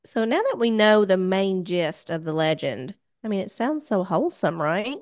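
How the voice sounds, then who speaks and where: An American woman hosts a spooky storytelling podcast in a casual, bantering style. Her pitch is average for a woman and the sound is dry, with no room to it. She is irreverent and jokes and swears freely as she narrates. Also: big pitch swings, normal speed, a little mellow, dry recording. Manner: irreverent, profane, joking. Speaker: podcast host telling spooky legends.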